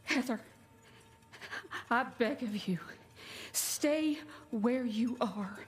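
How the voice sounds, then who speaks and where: A woman's voice: on the high side, very slow. An actress playing a devout, earnest frontier woman reading her letters in a solemn, pleading video game voice-over. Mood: fearful, pleading.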